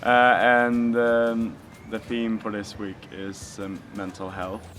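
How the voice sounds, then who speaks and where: Deep flat-voiced young man. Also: takes it slowly. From Sweden. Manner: hesitant, many filler sounds. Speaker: youth rally participant. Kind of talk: casual interview. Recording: outdoor recording with loud music.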